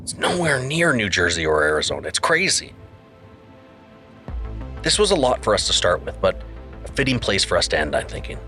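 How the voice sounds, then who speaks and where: An actor playing an earnest, intrigued podcast host in dramatized narration, urgent in manner, over music. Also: male voice, low-pitched, pushed and bright.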